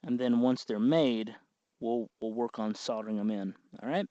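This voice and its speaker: A man giving an informal how-to commentary as host, thinking aloud and pausing hesitantly as he works. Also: medium pitch, dark tone, no room sound, highs cut off. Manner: hesitant, meandering, thinking aloud while working. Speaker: host of a DIY electronics tutorial. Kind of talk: informal hands-on tutorial commentary.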